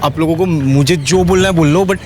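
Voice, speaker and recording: A man's voice: a little high, fairly quick, darkish tone, soft background music, close to an everyday voice. An actor playing a meek, anxious young man in an audio drama, pleading and stammering. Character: meek, anxious young live-in son-in-law. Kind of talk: Hindi audio drama acting.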